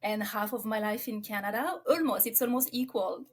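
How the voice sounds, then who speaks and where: A woman's voice: medium pitch, normal speed, slight background noise. A woman in her forties from France, with a French accent, talking reflectively about herself as an interview guest. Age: 40s.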